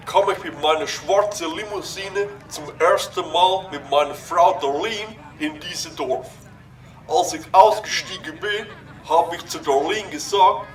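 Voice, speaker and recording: A man's voice: high voice, recorded from a distance. A man playing a friendly older American businessman with an American accent, giving a grand speech in a children's audio drama, mixing English words into his German.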